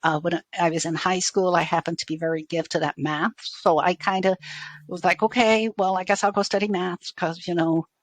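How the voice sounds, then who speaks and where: A middle-aged woman speaks in a fairly low voice with a faint Irish accent. She answers conversationally, circling back to rephrase. The sound is very dry, with no room echo. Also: steady tone, normal speed. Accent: faded Irish. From Dublin, Ireland. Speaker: university IT executive, interview guest.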